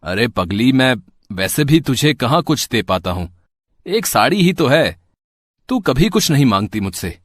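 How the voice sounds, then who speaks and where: A man's voice: medium pitch, very animated, a little slow, no room sound, clean studio sound. A man playing a poor, loving husband in a moral story, speaking earnestly and tenderly. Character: loving, poor husband and worried auto driver. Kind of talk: acted dialogue in a Hindi moral story.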